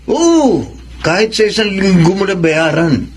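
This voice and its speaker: A man's voice: high voice, very animated, measured pace, a little hiss or hum. A man with a Filipino accent playing a sly, chummy drug dealer in a radio drama, teasing and coaxing. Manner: wheedling, teasing, familiar.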